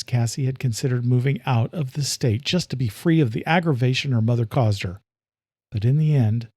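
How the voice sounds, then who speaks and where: A man's voice: mid-range voice, dark tone, no echo. A man narrating scripted horror-thriller fiction as a storyteller, close on the mic.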